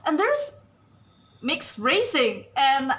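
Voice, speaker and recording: A woman's voice: high voice, big pitch swings, extremely slow, fairly bright tone. A woman from Bali co-hosting a podcast, chatting casually with loose grammar and laughing often. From Bali, Indonesia. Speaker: podcast co-host. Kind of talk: casual conversational chat.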